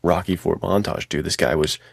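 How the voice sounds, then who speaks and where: A man's voice: deep voice, fairly even intonation, brisk, vocal fry, breathy edge, no room sound, studio quality. A man chatting casually as a podcast co-host, with slangy, enthusiastic talk and acted-out little quotes. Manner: slangy, enthusiastic, illustrates points with mimicked sounds and quotes. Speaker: podcast co-host and adventure fiction writer. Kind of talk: casual conversational podcast chat.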